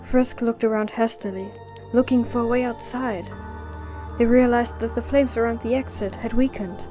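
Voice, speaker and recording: A woman's voice: on the high side, steady tone, normal speed, over music. A woman reading scripted story narration for an audio drama.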